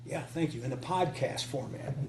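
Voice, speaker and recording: A man's voice: mid-range voice, moderate pace, a little mellow. A man giving an informal, off-the-cuff conference talk in a folksy way, repeating phrases for emphasis. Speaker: conference speaker, writer of radio dramas. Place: meeting room, live before a small group. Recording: live talk with clear hum and noise.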